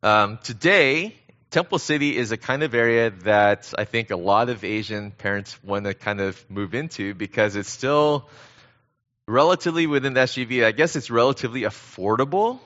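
A middle-aged American man preaching conversationally as a guest pastor, speaking in an earnest, halting way. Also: medium pitch, expressive, fairly bright tone, muffled recording. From born in Korea, grew up in Southern California. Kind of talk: conversational sermon.

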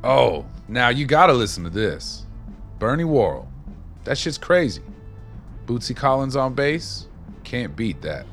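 A man's voice: mid-range voice, sing-song, measured pace, fairly bright tone. An actor playing a laid-back, worldly older man in a radio play, talking in a folksy, colloquial, easygoing way. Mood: enthusiastic, teasing. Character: laid-back, worldly older male drifter, music buff. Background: music playing, guitar.

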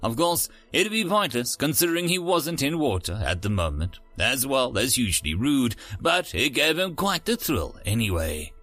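A man's voice: medium pitch, very animated, moderate pace, hardly any pauses, fairly bright tone, no room sound, soft background music. A man narrating scripted stories as a host, with a theatrical, dramatic announcer delivery. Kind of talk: scripted story narration with a showy intro.

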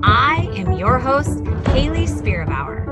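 Young woman podcast host, slightly high voice, enthusiastic welcomer. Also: very animated, slow, over loud music. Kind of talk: podcast hosting, scripted intro.

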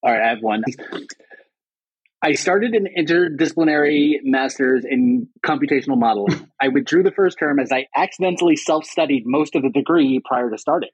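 Man, mid-pitched, podcast co-host, casual understated delivery, husky, lo-fi dry recording. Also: expressive, brisk, dry recording. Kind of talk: casual chat. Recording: dull, dry lo-fi sound.

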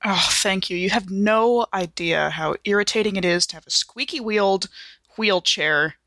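Woman player with a bright, crisp voice, dry close mic, wry. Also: mid-range voice, normal speed, dry recording. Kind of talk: casual tabletop game play, partly in character.

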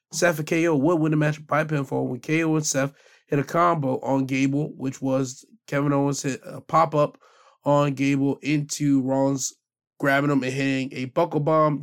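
A man hosting a podcast, giving a casual, rambling recap of the week's wrestling with a hoarse voice. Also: medium pitch. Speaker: podcast host.

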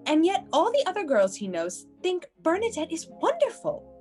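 A woman's voice: extremely high pitch, huge pitch swings, normal speed, a little mellow, faint music behind, exaggerated voice. A woman playing a prim, coaxing matron with a theatrical manner in a comedic radio play.

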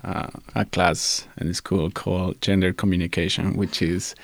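A man from Ecuador, chatting casually, halting with many fillers and restarts and laughing often. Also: deep voice, little pitch movement, gravelly, dry recording. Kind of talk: casual chat.

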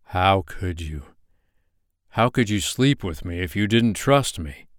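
A man's voice: deep voice, animated, slow, dry recording, clean studio sound. A man voicing a furious young ex-soldier who speaks harshly and curses in an audiobook. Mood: bitter. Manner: harsh, cursing, loud and biting.

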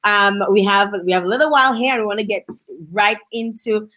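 A woman's voice: medium pitch, fairly quick, pushed and bright. A woman hosting a casual interview, talking in an effusive, chatty manner. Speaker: show host.

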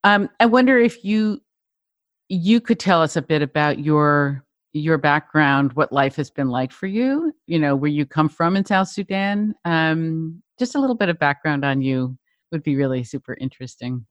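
A woman's voice: deep voice, expressive, moderate pace, no echo, muffled recording. A middle-aged woman hosting a podcast interview, speaking in a reflective, hesitant way as she searches for words. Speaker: podcast host.

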